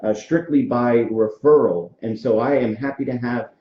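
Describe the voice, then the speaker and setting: A man's voice: mid-range voice, moderate pace, a little hiss or hum. A man in his forties from Florida, hosting a casual podcast interview and telling stories with frequent fillers. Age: 40s. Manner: friendly, storytelling, frequent fillers. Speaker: podcast host. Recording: echoey room, dull lo-fi sound.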